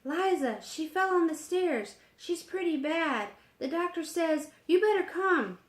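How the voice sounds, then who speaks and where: A woman's voice: very high-pitched, lively intonation, a little slow, roomy sound, pitched above the performer's own voice. A woman voicing an alarmed young man who brings bad news in urgent, gasped phrases.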